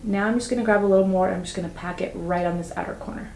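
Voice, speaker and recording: A chatty woman talks through her makeup routine with a slightly raspy, just-woken-up voice. She sounds upbeat and speaks casually, full of fillers. The room echoes noticeably, with a faint ambient hum behind her. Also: mid-range voice, steady tone, racing, darkish tone. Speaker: beauty vlogger. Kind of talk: casual makeup tutorial talk.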